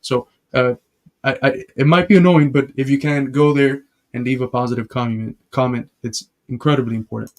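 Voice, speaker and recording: A man's voice: mid-range voice, fairly even intonation, fairly quick. A man hosting a live reaction stream, explaining ideas with everyday examples in a casual, lecturing way. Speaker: stream host.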